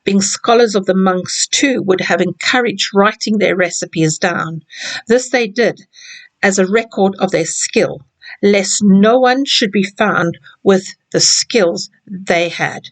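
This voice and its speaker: A woman guiding viewers through a hotel room and then telling an old local legend, gently, with small restarts. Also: medium pitch, slow. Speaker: hotel tour guide. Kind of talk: guided room tour and legend narration.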